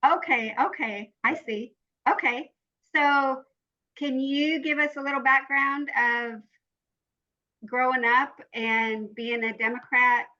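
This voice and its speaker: A woman's voice: high voice, steady tone, slow pace, sharp tone, dull audio. A woman hosting a podcast, asking questions in a friendly, encouraging interviewer manner. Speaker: podcast host. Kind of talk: casual interview.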